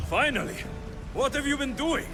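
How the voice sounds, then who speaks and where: An actor playing a hot-tempered, blunt young Greek warrior, forceful and challenging, in a video game drama. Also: male voice, very high-pitched, lively intonation, moderate pace, music in the background. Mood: accusing.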